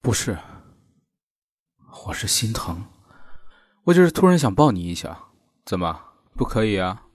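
Casting: male actor as tender-hearted, teasing male lead for radio drama, lowish register, very animated intonation. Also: a little slow, pauses often, husky, dry recording, full character voice. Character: protective, teasing, tender-hearted young man in love. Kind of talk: audio drama acting.

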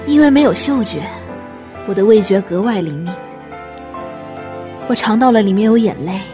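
A woman's voice: medium pitch, expressive, moderate pace, music in the background, natural voice. A woman acting as an earnest, wistful young apprentice chef who also narrates an audio drama. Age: young adult.

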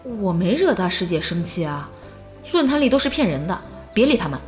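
An actress voicing a timid, flustered young lover in an audio drama, bewildered and fretting as they protest innocence. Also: female voice, fairly low pitch, big pitch swings, fairly quick. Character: timid, flustered, anxious lovestruck young junior. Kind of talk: modern audio drama acting. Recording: music behind the voice.

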